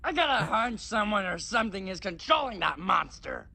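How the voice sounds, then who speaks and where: Man voicing a gravelly, shouting cartoon tough guy. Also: high voice, big pitch swings, normal speed, exaggerated voice. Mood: brash, teasing. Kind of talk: video game fan dub acting.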